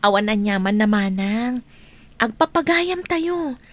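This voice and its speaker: High-pitched consoling Ilocano woman friend. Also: expressive, unhurried. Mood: supportive. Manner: earnest, comforting. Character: consoling female friend, gentle and supportive. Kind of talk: Ilocano radio drama acting.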